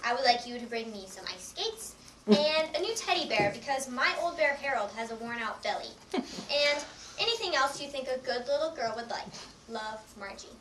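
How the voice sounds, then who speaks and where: A sweet, trusting little girl, acted by a woman in readers theatre. The voice is very high and bright, picked up at a distance in a roomy space. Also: very animated, normal speed, full character voice. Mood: earnest, hopeful. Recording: echoey room, distant mic, faint noise.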